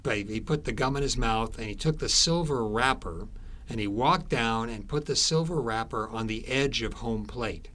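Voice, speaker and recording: A man being interviewed as an author, telling stories conversationally in halting, explanatory phrases with thoughtful pauses. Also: medium pitch, fairly bright tone.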